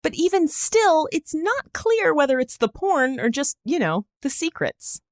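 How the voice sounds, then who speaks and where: A woman's voice: high-pitched, big pitch swings, a little slow, no echo, studio quality. A woman hosting a podcast, speaking in a conversational interviewing and explaining style. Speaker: podcast host.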